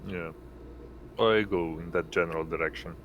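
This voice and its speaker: A man speaking haltingly and casually as a player in an online tabletop roleplaying game. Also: fairly even intonation, fairly bright tone, highs cut off. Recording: noisy mic with rumbling background.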